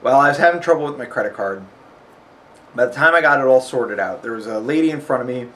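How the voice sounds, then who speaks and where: A man's voice: mid-range voice, animated, quick pace, fairly bright tone. A man with a sniffly nose, chatting casually and rambling about a road trip, full of fillers. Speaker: vlogger. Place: hotel.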